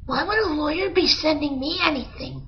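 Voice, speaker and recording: Impulsive male monkey kid, very high and squeaky, played by a woman; muffled lo-fi radio-drama sound. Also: fairly quick, slight background noise, close to an everyday voice. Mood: childish. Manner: impulsive, whiny, easily distracted. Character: childish excitable young male monkey. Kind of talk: amateur old-time radio parody. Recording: muffled lo-fi audio with music.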